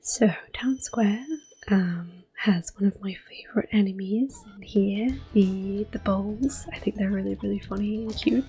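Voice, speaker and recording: A woman hosting soft-spoken ASMR gameplay commentary, whispering gently as she plays. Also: medium pitch, fairly even intonation, a little slow, slightly rough, low-quality recording. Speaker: ASMR gaming host. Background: game music.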